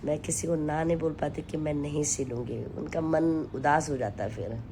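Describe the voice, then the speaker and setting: A hoarse woman with a sore throat, chatting to her followers from home in a weary, sighing way. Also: deep voice, fairly even intonation, background noise. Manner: slow, weary, sighing between lines. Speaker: vlogger and home tailor. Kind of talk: casual chat to camera. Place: at home, taking steam.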